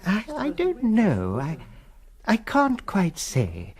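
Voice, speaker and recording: A man's voice: high-pitched, huge pitch swings, full character voice. An actor playing a kind, hesitant priest who halts and stammers as he voices his worry. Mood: hesitant, concerned. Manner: hesitant, halting, full of uh's. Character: kindly, hesitant older priest.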